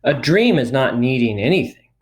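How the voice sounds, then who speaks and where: A man's voice: medium pitch, sing-song, moderate pace, natural voice. A man voicing a friendly giant from a storybook, with folksy, muddled grammar. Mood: gentle, explaining. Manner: folksy, ungrammatical speech. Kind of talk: storybook read aloud.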